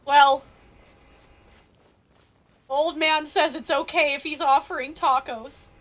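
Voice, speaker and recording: High-voiced playful woman streamer. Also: steady tone, takes it slowly, fairly bright tone. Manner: jokey, playful. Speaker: game streamer. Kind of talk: casual live game stream commentary.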